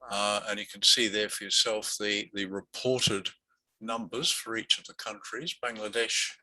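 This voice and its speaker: A man's voice: fairly low pitch, little pitch movement, unhurried, sharp tone, no echo, poor audio. A man hosting an online meeting, walking through statistics and then interviewing a guest, with many fillers and restarts. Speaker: meeting host.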